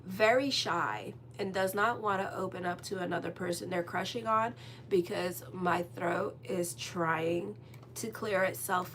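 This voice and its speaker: Chatty woman with a bright voice. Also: on the low side, slight background noise. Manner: rambling, chatty, full of "like" and "um"; frank and direct with listeners. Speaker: astrologer and tarot reader. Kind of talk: casual tarot horoscope reading.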